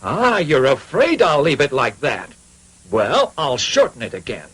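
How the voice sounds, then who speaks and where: A man's voice: medium pitch, huge pitch swings, a little slow, fairly bright tone, husky, heavily acted, rough recording. An actor playing a kindly old woodcarver and father, muttering and exclaiming as he gets exasperated, in a cartoon dub. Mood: indignant. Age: older. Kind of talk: animated cartoon dub acting. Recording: music behind the voice.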